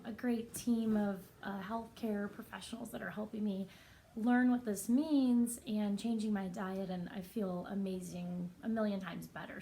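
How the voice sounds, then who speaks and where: A woman with a soft, raspy voice, talking earnestly and reflectively in a personal vlog. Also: mid-range voice, a little hiss or hum. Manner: earnest, reflective, unhurried with pauses. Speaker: vlogger.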